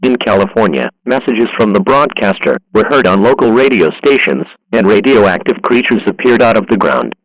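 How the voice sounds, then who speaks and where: A man playing an impersonal government emergency alert announcer, reading a scripted broadcast in a formal, deliberate way. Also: lowish voice, expressive, no room sound, poor audio.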